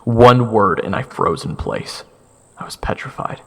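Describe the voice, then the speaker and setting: Low-voiced, animated man narrating fantasy. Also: gravelly. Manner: theatrical, with dramatic pauses. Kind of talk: dramatic first-person fantasy story narration. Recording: loud music under the narration.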